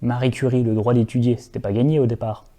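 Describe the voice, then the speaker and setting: A man's voice: mid-range voice, little pitch movement, fast talker, dark tone. A young man hosting a scripted video essay, earnest and direct, with occasional wry asides to his viewers.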